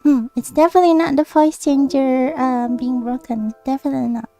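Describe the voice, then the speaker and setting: A woman with a very high voice hosts a casual online discussion. She talks haltingly, pausing and humming as she gathers her thoughts, with faint music playing underneath. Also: fairly even intonation, gravelly, dark tone. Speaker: host of a VTuber discussion stream. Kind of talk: casual chat.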